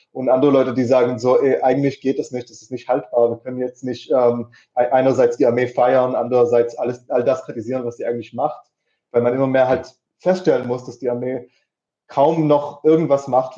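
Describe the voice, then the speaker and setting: Guy talking politics, lots of ums and restarts, mellow voice, kinda muffled lo-fi sound. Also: medium pitch. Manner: hesitant, many fillers and restarts. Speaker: guest. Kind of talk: casual political discussion. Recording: dull lo-fi sound.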